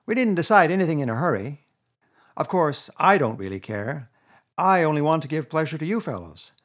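A man voicing a boastful, excitable male toad in a storybook reading, loud and gushing. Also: a little high, brisk, pitched above the performer's own voice.

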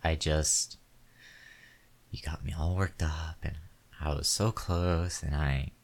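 A man voicing a crude, hot-tempered man in an audio roleplay, with a low, heavy voice and slow, deliberate delivery. He snarls through nearly every line with constant cursing, and his bluster can give way to frightened pleading. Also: fairly even intonation, vocal fry, a little mellow, exaggerated voice. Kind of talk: one-sided audio roleplay.

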